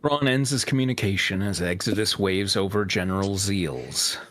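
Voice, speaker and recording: A man's voice: low-pitched, moderate pace, a bit bright. A man narrating and chatting casually as co-host of a fanfiction reading stream. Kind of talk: casual chat and script narration.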